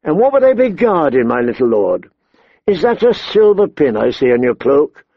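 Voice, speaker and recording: A man's voice: on the high side, sing-song, normal speed, breathy edge, no echo, lo-fi sound, exaggerated voice. A man voicing a gaunt, grey-stubbled deserter, sly, sardonic and sneering, in an audiobook story. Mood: sly mockery.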